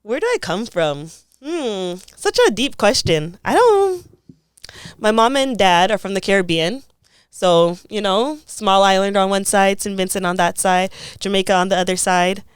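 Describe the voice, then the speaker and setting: A woman's voice: medium pitch, expressive, unhurried, clean tone, no room sound, clean studio sound. A young woman, speaking as an interview guest. She talks in a thoughtful, casual way and often says "like.". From Toronto, Canada. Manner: thoughtful, frequent "like" fillers.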